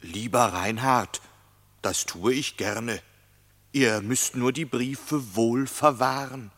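A man's voice: medium pitch, slow, a bit bright, big-room sound. An actor voicing a gullible male ram who speaks earnestly and dutifully, in a classic verse radio play.

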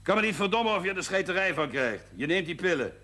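A man's voice: high-pitched, sing-song, fast, sharp tone, heavily acted. An actor playing a blunt, outspoken village doctor who lectures his patients, speaking Dutch with a hard northern G in an old TV drama soundtrack. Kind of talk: 1970s TV drama acting. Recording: 1970s TV soundtrack with faint hiss.

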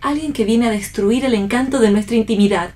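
An actress as a genteel, idealistic wife. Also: female voice, a little high, a little fast, mellow, slight background noise, close to an everyday voice. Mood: earnest idealism. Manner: poetic, formal, correcting. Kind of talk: staged radio play reading.

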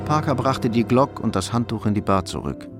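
A man's voice: medium pitch, animated, fairly quick. A man reading scripted narration for a radio crime drama. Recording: loud music behind narration.

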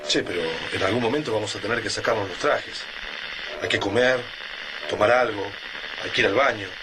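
A man's voice: on the low side, brisk, background noise, close to an everyday voice. An actor playing an anxious but hopeful family man with an Argentine accent, speaking earnestly in a radio drama. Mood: worried. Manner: earnest, colloquial.

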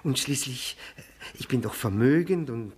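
Radio drama role: obsequious, self-abasing widower, lowish husky voice, hesitant, stammering, pleading. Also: male voice, lively intonation, moderate pace, a little mellow. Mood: eager, insistent. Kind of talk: 1960s German radio play acting.

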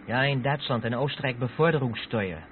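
An actor with a Netherlands Dutch accent playing a curious junior truck driver who keeps asking questions in a radio play. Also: male voice, medium pitch, fairly quick, lightly acted. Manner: inquisitive, animated. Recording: muffled old radio play, vehicle noise.

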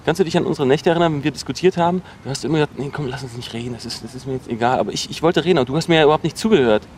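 An actor playing a bitter, obsessive ex-boyfriend in a naturalistic radio drama, speaking insistently in halting, repetitive bursts. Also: male voice, on the high side, a little mellow, a little hiss or hum, natural voice. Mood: scornful, agitated. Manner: insistent, accusatory, halting with repeated words.

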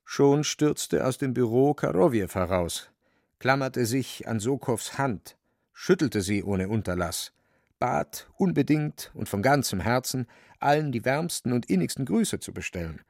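Male narrator for literary radio drama: gravelly, mid-pitched, close dry studio sound. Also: animated, measured pace, a little mellow, no echo.

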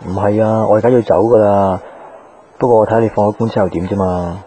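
A man's voice: on the low side, fairly even intonation, fast talker, mellow, slight background noise, natural voice. A man acting a reserved, doubting young boyfriend in a radio drama, understated and natural, with a Hong Kong accent. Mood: restrained, piqued. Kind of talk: Cantonese radio drama acting with inner monologue.

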